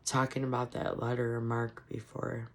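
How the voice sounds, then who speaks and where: A woman giving casual, frustrated political commentary on her vlog, hoarse from losing her voice. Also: rumbling low, little pitch movement, moderate pace. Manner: frustrated, ranting. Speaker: commentary vlogger.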